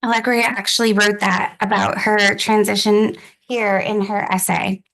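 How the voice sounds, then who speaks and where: A woman's voice: medium pitch, level tone, normal speed, gravelly, a bit bright, poor audio. A middle-aged woman presenting scholarship students at a formal meeting, speaking encouragingly and using frequent fillers.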